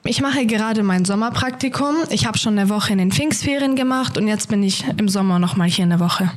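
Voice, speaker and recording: 20 y/o woman, teacher trainee, brisk, mid pitch. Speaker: trainee educator. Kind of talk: interview self-introduction.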